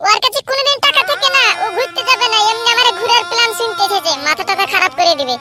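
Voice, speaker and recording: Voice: very high voice, racing, sharp tone, soft background music, full character voice. An actor voicing a bossy, childlike character in a comedy cartoon, scolding and childishly insistent. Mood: scornful.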